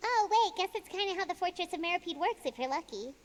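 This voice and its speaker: A woman voicing an excitable little girlish companion creature in a game, squeaky and dramatic. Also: fast talker. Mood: wistful. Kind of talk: game voice acting. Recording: studio voice with reverb and game music.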